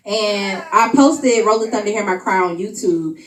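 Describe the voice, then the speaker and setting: A woman's voice: medium pitch, moderate pace, distant mic. Chatty young woman poet in echoey room. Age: 20s. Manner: chatty and playful with the audience, laughing between bits. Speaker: spotlight poet at an open mic. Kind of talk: spoken-word poetry and casual stage chat. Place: event venue room.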